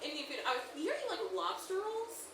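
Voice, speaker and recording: A woman's voice: extremely high pitch, expressive, fairly quick, vocal fry, recorded from a distance, crowd noise. A woman hosting a casual interview on a couch, asking playful questions and giggling. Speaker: host. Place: living room on a couch. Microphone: table mic on a stand. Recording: echoey room.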